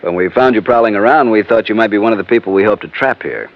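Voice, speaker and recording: An actor playing a polite, businesslike FBI agent in a 1950s radio drama, speaking precisely and formally. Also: male voice, fairly low pitch, quick pace, lightly acted. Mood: apologetic. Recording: muffled old radio recording.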